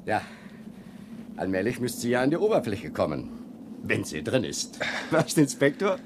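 An actor playing a pushy, excitable male chief reporter, brash and nosy, in a 1970s radio crime drama. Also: mid-range voice, normal speed. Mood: breezy, nosy. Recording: noisy outdoor scene with birds.

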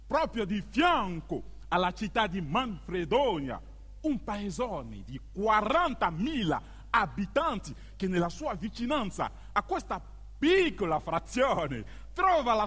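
An actor plays an ironic male stage storyteller with a high, husky voice. He declaims slowly, with emphatic pauses and huge swings of pitch. The sound rings in a long hall reverb. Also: sharp tone, full character voice. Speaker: stage narrator. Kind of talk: theatrical monologue for radio theatre.

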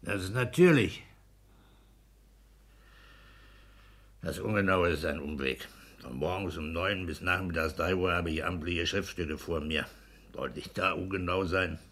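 An actor playing a smug, pedantic clerk and husband in a 1960s German radio play, blunt and domineering. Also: male voice, moderate pace, fairly bright tone. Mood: pedantic, precise. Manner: exacting, domineering, booming bursts of mockery.

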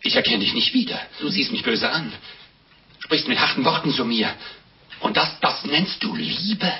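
A pious, exasperated monk, scolding and pleading theatrically in a lively, rather high male voice. It is a dull old radio drama recording with music behind. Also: normal speed, gravelly. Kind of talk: 1950s German radio play acting.

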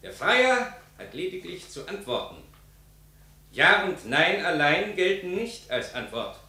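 An actor in a 1950s German radio drama playing a stern, formal court official with ceremonial, declamatory delivery. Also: male voice, high voice, big pitch swings, measured pace, sharp tone, full character voice. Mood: solemn. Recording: very echoey large hall.

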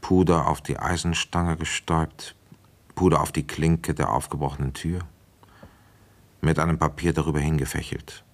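Male police inspector for radio drama: very low pitch, reflective, methodical, measured delivery. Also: fairly even intonation. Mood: matter-of-fact. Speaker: radio drama actor, narrator and lead. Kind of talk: German radio play narration and dialogue.

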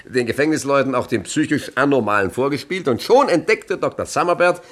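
An actor playing a cunning, self-assured gang boss in a radio crime comedy, chatty and nonchalant. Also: male voice, medium pitch, animated, fairly quick, close to an everyday voice. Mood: breezy. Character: breezy, cunning master criminal of the twenties, gang boss. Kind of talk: radio play acting.

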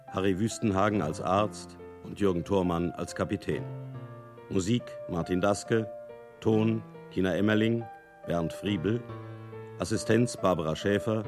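A man announcing radio play credits in a scripted style. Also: low-pitched. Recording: prominent music behind the voice.

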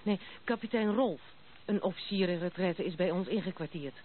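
A woman's voice: on the low side, natural voice. Casting: actress for headstrong young aristocratic woman, blunt radio drama delivery, phone-thin vintage sound with some hiss. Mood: brisk, self-assured. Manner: brisk, self-assured, outspoken. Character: headstrong, unconventional young noblewoman, blunt and proud. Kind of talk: Dutch radio play acting. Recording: muffled narrow-band old radio recording, noisy.

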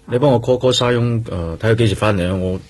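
Actor as earnest, tired Hong Kong detective. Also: male voice, on the low side, quick pace, darkish tone, no echo, close to an everyday voice. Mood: businesslike. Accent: Hong Kong Cantonese. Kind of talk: radio drama acting.

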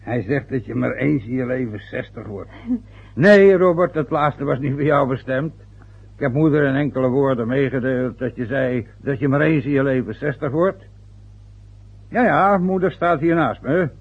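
An actor playing a fretful, rambling father turning sixty in an old radio play, with a husky voice. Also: mid-range voice. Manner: rambling, loses his thread. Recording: phone-like lo-fi old radio recording.

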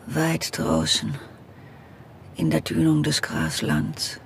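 Slow, creaky, weary woman. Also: fairly low pitch, a little hiss or hum, full character voice. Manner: slow, fragmented, often dropping into whispers. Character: weary, disoriented woman driver drifting through memories. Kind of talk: poetic radio drama monologue. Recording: loud music under the voice. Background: music.

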